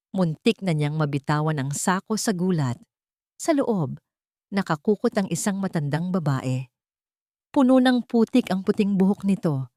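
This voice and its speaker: A woman telling a dramatic story with a low, deep voice. Her tone is dark and mellow, and the steady narration comes through a dry, close studio mic. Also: no echo. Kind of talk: scripted audio drama narration.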